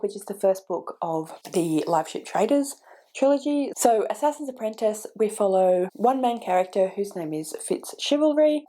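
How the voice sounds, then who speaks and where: A woman chatting casually to camera about book recommendations, sounding stuffy and croaky from a cold, wandering a little and correcting herself as she goes. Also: mid-range voice, mellow. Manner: chatty and meandering, with restarts and self-corrections. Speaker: book vlogger.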